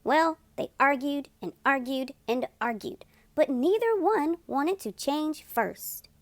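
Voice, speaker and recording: Woman narrator for children's stories: emphatic, expressive, lively intonation, close dry recording. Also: sits high, no room sound. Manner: animated, deliberate, emphatic. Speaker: storytime host and reader.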